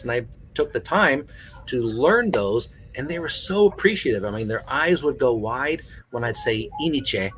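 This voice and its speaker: A man in casual podcast conversation, telling stories in an animated way with plenty of conversational fillers. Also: medium pitch, slight background noise, poor audio. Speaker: podcast panelist. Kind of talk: casual chat.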